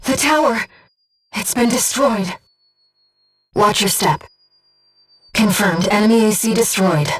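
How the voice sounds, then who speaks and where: A woman voicing a gentle, earnest disembodied guide to a mercenary pilot in a video game, with a soft, measured, composed delivery. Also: mid-range voice, expressive, slightly rough, far from the mic, soft background music. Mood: urgent, alert, then composed briefing. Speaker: mission support operator. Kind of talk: scripted video game voice acting.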